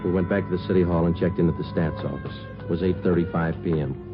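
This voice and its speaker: An actor playing a terse, businesslike police sergeant in a 1950s radio drama, clipped and matter-of-fact, whether questioning or narrating. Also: male voice, deep voice, steady tone, quick pace, over music. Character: terse, businesslike male police detective sergeant, calm and procedural. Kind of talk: 1950s radio drama acting with scripted first-person narration. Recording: muffled old radio recording.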